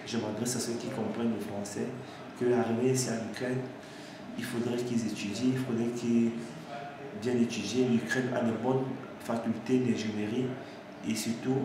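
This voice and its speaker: A young man from Cameroon, an engineering student, giving a halting unscripted testimonial to camera with many restarts. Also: medium pitch. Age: young adult. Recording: echoey room with steady background noise.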